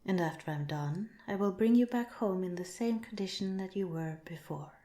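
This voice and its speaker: A woman voicing a calm, clinical alien researcher in an ASMR roleplay, speaking softly with measured, formal politeness. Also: mid-range voice, lightly acted. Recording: roomy, echoing sound.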